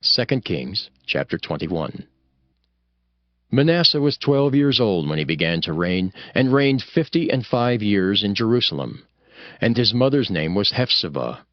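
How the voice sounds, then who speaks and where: Solemn, expressive male scripture narrator. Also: lowish voice, unhurried, no echo. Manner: solemn, dramatic storytelling. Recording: dull sound under loud music. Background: music.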